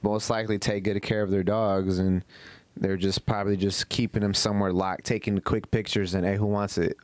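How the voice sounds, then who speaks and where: A man co-hosting a podcast, chatting in a relaxed, agreeable way and teasing his friends. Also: lowish voice, fairly quick, no room sound. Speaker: podcast co-host. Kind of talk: casual chat.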